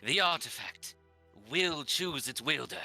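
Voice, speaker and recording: A man voicing a pompous, zealous high priest in a fantasy visual novel, proclaiming solemnly and grandly. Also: animated, measured pace, bright, forceful tone, soft background music, exaggerated voice. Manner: solemn proclaiming that swells theatrically. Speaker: streamer voice-acting a visual novel.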